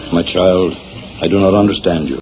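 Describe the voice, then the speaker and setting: A man playing a kindly, solemn frontier mission priest with formal, measured phrasing in 1940s radio drama. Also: sits low, fairly even intonation, natural voice. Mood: gentle, puzzled. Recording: muffled, noisy 1940s radio recording.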